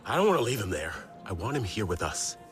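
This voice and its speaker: Game casting: a mourning man, low register with big swings in pitch, sorrowful, halting delivery. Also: sing-song, faint music behind. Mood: grief-stricken. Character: grief-stricken man mourning a lost companion. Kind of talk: video game character acting.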